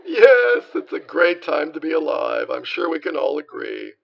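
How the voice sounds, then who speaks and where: A man's voice: a little high, very animated, normal speed, vocal fry, highs cut off, slight background noise, exaggerated voice. An actor playing a brassy male 1930s radio show host, full of showbiz patter and innuendo. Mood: smarmy.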